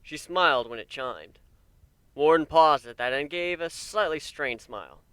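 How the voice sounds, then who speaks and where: A male narrator reads a story in a dramatic scripted style. His voice is bright and smooth at a middle pitch. The recording is close and dry, without room echo. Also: unhurried. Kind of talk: dramatic narration of fan fiction.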